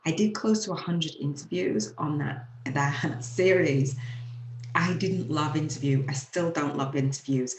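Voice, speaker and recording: A woman's voice: deep voice, unhurried. A woman with a Leeds Yorkshire accent, hosting her own show and earnestly telling her personal story, laughing now and then through emotional moments. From England, UK. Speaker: show host. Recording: echoey room.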